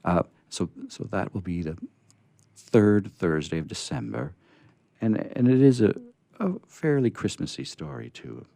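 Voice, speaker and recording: A man hosting a radio storytelling show in casual, unscripted chat, rambling and halting in a folksy way. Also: sits low, very animated, vocal fry, warm tone, no room sound.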